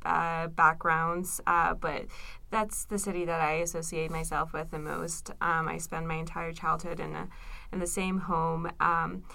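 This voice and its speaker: A woman's voice: sits low, level tone, a little slow, gravelly, sharp tone. A young woman from Iran, a graduate student, answering interview questions thoughtfully with many fillers. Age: young adult.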